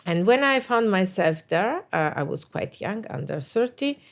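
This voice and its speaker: A woman's voice: mid-range voice, very animated, moderate pace, gravelly. A middle-aged woman, an English speaker, answering interview questions thoughtfully with frequent hesitations and restarts. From Italy. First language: Italian. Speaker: guest, NATO special representative.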